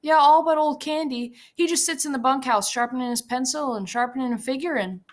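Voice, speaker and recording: A woman's voice: sits high, brisk, voice pushed up, lightly acted. A woman voicing a big, simple-minded, childlike male ranch hand who talks in an eager, pleading, repetitive way.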